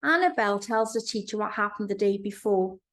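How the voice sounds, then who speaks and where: A woman narrating a storybook for young children in an expressive storytelling manner. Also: mid-range voice, a little fast. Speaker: author reading own children's book.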